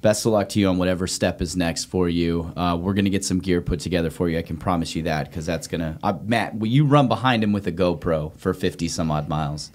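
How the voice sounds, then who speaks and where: A man with a fairly low voice hosts a podcast. He talks casually and informally, keeping up a bantering tone with the people around him. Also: fast talker, hardly any pauses. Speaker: podcast host. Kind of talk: casual chat, hosting.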